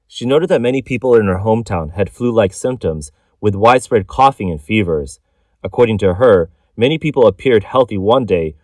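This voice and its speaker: A man narrates a news report in a low-pitched voice. The delivery is scripted, and the sound is close and dry with almost no room on it. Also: a little mellow, no echo. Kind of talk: scripted news narration, reading translated accounts.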